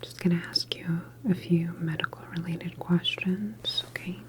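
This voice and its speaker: A woman whispering in a breathy, calm and reassuring clinical manner, as an ASMR doctor roleplay. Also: lowish voice, normal speed. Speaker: ASMR performer playing a doctor in a medical roleplay.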